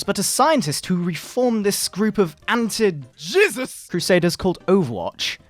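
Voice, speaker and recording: A man voicing a pompous, sanctimonious fundamentalist preacher parent in a mock-dramatic sermon, with theatrical, drawn-out emphasis. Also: fairly high pitch. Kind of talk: mock-dramatic reading of a moral-panic sermon. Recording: background video game music.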